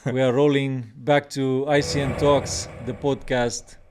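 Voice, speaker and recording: A man's voice: medium pitch, little pitch movement, measured pace, background noise. A man hosting a podcast, asking short, friendly questions in a casual style. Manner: friendly, brief prompting questions. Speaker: podcast host. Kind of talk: casual interview.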